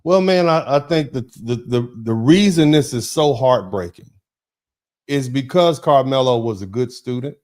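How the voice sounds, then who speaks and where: A man's voice: medium pitch, normal speed, darkish tone. A man hosting a casual talk show, speaking emphatically and often repeating his words as he drives home a point. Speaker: host. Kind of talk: casual commentary chat.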